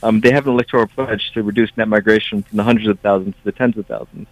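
A man's voice: fairly low pitch, fairly even intonation, fast talker, dry recording. A man from Brazil who grew up in the United States, a student union officer, speaking earnestly in a radio interview. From Brazil, raised in the United States. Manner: earnest, emphatic. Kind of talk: radio interview over a line. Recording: dull lo-fi line.

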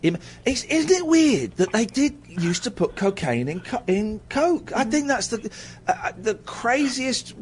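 A man's voice: extremely high pitch, very animated, a little slow, a little hiss or hum. A middle-aged man hosting a radio show, chatting with a caller in a rambling, self-mocking way with lots of restarts. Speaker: radio host. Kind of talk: casual radio chat with callers.

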